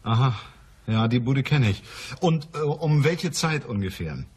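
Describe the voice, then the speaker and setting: An actor playing a dry, commanding private detective in a crime radio play, matter-of-fact and wry. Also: male voice, mid-range voice, lively intonation, unhurried, breathy edge. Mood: businesslike. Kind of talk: German crime radio play, dialogue and narration.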